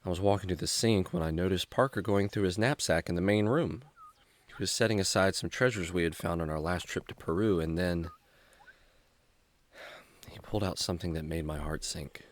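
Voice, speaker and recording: A man's voice: deep voice, a little fast, close to an everyday voice. A man playing a friendly, earnest young adventurer in a children's radio drama, speaking like a clean-cut storyteller. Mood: somber storytelling.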